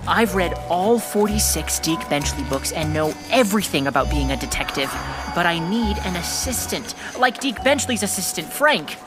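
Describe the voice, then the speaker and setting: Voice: mid-range voice, lively intonation, moderate pace, over music, lightly acted. An actor playing a precocious, pompous middle-school would-be detective in a children's audio drama, speaking in formal, bookish language. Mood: earnest, eager. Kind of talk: scripted children's audio drama, first-person narration and dialogue.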